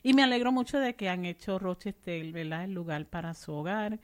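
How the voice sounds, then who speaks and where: A woman hosts a weekly community radio hour, talking in a friendly, chatty way. Her voice sits a little low for a woman. She keeps checking in with the listener, filling the gaps with small tag questions. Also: a little fast. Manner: warm, chatty, frequent "¿verdad?" and "so" fillers. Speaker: radio show host.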